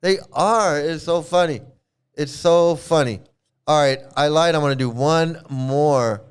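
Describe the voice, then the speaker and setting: A man gives a mocking rant over video clips, laughing often and repeating his jabs. His voice sits fairly high and sounds very clear and clean. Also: measured pace. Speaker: YouTube commentator.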